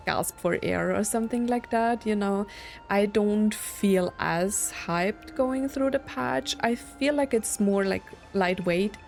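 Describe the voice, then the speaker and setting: A woman's voice: medium pitch, darkish tone. Casual woman commenting on a game. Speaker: gaming video host. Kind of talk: casual playthrough commentary and reactions.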